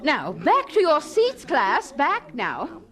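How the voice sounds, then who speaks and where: A woman's voice: sits high, huge pitch swings, slow pace, exaggerated voice. A woman playing a kindly, easily flustered schoolteacher in a radio drama, speaking in a fluttery, hesitant way.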